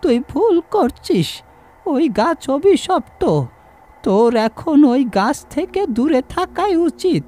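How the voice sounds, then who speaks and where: High, slow old village aunt. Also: male voice, sing-song, dark tone, exaggerated voice. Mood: worried warning. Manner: drawn-out, pleading, cautioning. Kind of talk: Bengali horror audio story.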